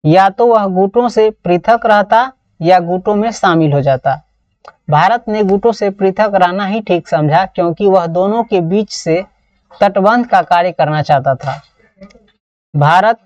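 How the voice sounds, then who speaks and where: A woman's voice: mid-range voice, darkish tone, recorded from a distance. A woman with a Bihari accent teaching an exam-prep lesson, reading out model answers in a didactic way and repeating phrases. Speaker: teacher of political science. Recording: roomy echo, dull lo-fi sound.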